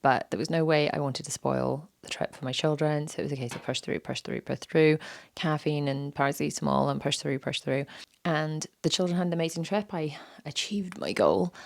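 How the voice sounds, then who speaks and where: Croaky low-voiced mum. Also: female voice, normal speed, no echo. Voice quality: low and gravelly. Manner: candid, self-deprecating, repeats phrases for emphasis. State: croaky, sore-throated voice. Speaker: podcast host. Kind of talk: reflective solo podcast talk.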